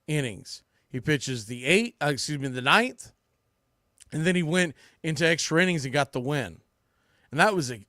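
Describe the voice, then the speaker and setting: An American man with a fairly high voice hosts a sports podcast in a dry, close-sounding space. He delivers opinionated baseball commentary bluntly and emphatically, with some salty language. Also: a bit bright, no room sound. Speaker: sports podcast host and play-by-play broadcaster.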